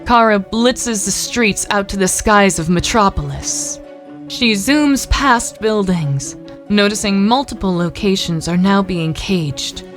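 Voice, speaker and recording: A woman narrating superhero action in the third person for an audio drama, measured and dramatic. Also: mid-range voice, faint music behind, natural voice. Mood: tense storytelling.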